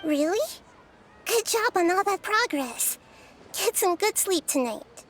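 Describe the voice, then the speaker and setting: A woman's voice: extremely high pitch, lively intonation, unhurried, slight background noise. A woman voicing a small, enigmatic young girl in an anime video game, composed and wise beyond her years. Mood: approving. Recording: background music behind the voice.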